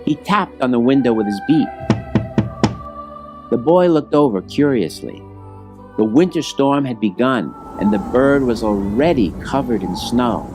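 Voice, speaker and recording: A man's voice: mid-range voice, animated, unhurried, over music. A man reading a scripted children's story aloud as the narrator.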